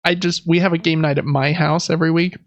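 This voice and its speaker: A man's voice: a little high, steady tone, fast talker, highs cut off. A man co-hosting a gaming podcast, chatting casually in a rambling, enthusiastic, informal way. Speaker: podcast co-host.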